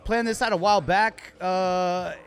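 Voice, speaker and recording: A man's voice: high voice, steady tone. A man co-hosting a podcast recorded live at a bar, talking in a casual, rambling way with many restarts. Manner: rambling, halting restarts, upbeat. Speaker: podcast co-host. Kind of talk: casual chat.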